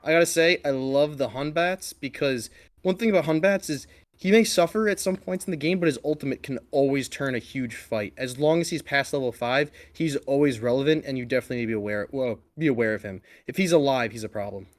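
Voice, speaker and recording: A man giving live esports commentary and analysis as a shoutcaster. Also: mid-range voice, fast.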